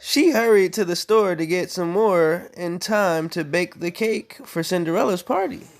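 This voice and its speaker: A woman reading a bedtime story aloud in an animated style, with casual, playful and mocking asides. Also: fairly low pitch, measured pace.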